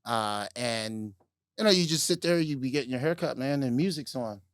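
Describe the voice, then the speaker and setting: Brief: middle-aged male co-host, raspy today, easygoing chat, mid pitch, dry close mic. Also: lively intonation, normal speed, dry recording. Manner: laid-back, filler-heavy, laughs often. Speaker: podcast co-host. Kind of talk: casual chat.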